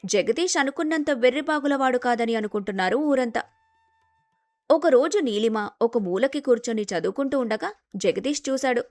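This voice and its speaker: A woman's voice: on the high side, normal speed, no echo, clean studio sound, soft background music. A woman storyteller reading a scripted story narration.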